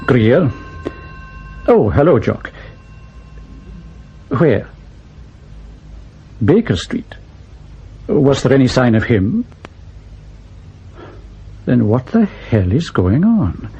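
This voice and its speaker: An actor playing a brisk, worried senior security officer in a radio spy drama, hesitant yet commanding and clipped. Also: male voice, medium pitch, sing-song, mellow, husky, full character voice. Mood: anxious. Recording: dull radio drama sound, faint noise.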